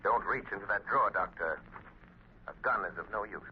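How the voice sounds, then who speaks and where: An actor playing a stern, mocking invisible crime fighter in an old radio drama, commanding and ominous. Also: male voice, fairly low pitch, a little fast, close to an everyday voice. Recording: dull, noisy old radio recording.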